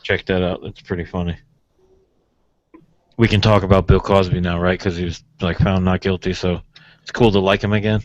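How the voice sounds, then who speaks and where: A man's voice: low-pitched, little pitch movement, normal speed, long pauses, no echo. A foul-mouthed, irreverent man bantering casually as a radio co-host on a lo-fi call. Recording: dull lo-fi online call audio.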